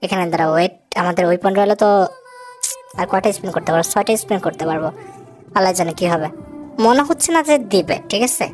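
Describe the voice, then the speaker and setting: Very fast-talking Bangladeshi woman gamer over music. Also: lowish voice. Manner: chatty, addresses viewers directly with casual asides. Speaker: gaming video host. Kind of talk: casual gameplay commentary. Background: background music.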